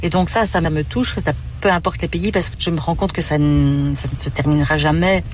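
A woman from recalls her family in a car, pausing often with filler sounds and restarts. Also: low-pitched, fairly even intonation. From Belgium. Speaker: interviewee. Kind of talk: casual recollection. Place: inside a moving car.

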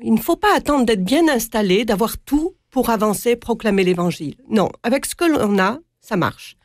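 Religious sister with a southern French accent. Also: female voice, fairly high pitch, expressive, moderate pace, dry recording, studio quality. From south-east France. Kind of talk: interview answers.

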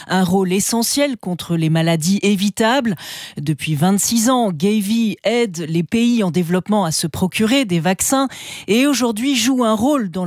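A woman correspondent delivering a news report in a clear, steady way. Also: medium pitch, no echo, studio quality.

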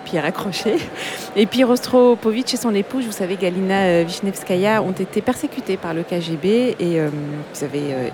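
A woman's voice: mid-range voice, background noise. A woman presenting a radio broadcast, explaining background in a chatty way with fillers. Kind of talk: broadcast commentary.

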